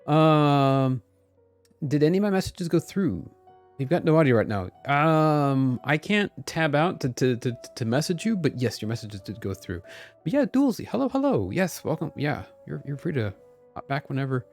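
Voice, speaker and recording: Male streamer, casual and friendly, fairly high voice with animated intonation, faint music behind. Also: normal speed. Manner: upbeat, friendly. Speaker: live streamer. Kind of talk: casual chat while streaming a video game.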